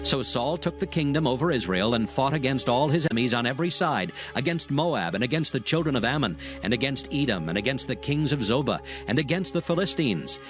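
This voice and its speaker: A man's voice: mid-range voice, lively intonation, fairly quick, hardly any pauses, over music. A man narrating a dramatized scripture recording as a solemn storyteller.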